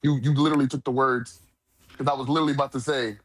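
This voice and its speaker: A man's voice: mid-range voice, flat delivery, racing. A man in a casual manga discussion, talking in an emphatic, profane, slang-heavy way as a commentator.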